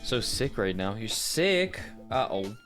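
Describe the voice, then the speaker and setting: A man's voice: on the low side, very animated, slow, a bit bright, soft background music. A man chatting casually to viewers as a livestreaming voice actor. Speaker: streamer and voice actor.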